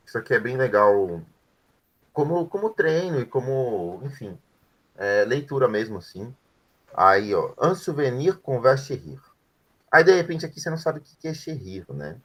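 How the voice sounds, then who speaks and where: Male language teacher, lower register, patient step-by-step explainer. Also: a bit bright. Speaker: French teacher. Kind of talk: explaining grammar in an online class.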